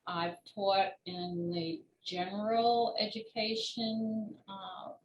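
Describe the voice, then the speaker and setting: An older woman speaks as an interviewed author, explaining things in short, measured phrases with pauses. Her pace is unhurried. The room adds a clear echo, and there is noise in the background. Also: medium pitch, fairly even intonation, lo-fi sound. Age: 60s or older. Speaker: children's book author and retired teacher being interviewed. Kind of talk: conversational interview answers.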